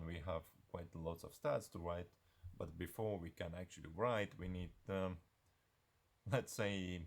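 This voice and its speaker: A man giving an unscripted software screencast tutorial in a halting, hesitant way. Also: sits low.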